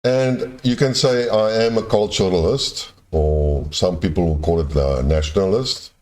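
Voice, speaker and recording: A man with a very low voice and an Afrikaans accent delivers an unscripted monologue. He speaks in halting runs, often stopping to restart a phrase while he hunts for the right word. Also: darkish tone. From born in Germany, raised in South Africa. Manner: halting, searching for words with many restarts. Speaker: video essayist.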